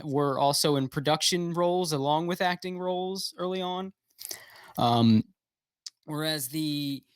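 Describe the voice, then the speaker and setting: A man's voice: mid-range voice, a bit bright, dry recording. A man talking as a guest in a casual livestream chat, rambling with lots of fillers and restarts, blunt and swearing freely, heard over a video call. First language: English.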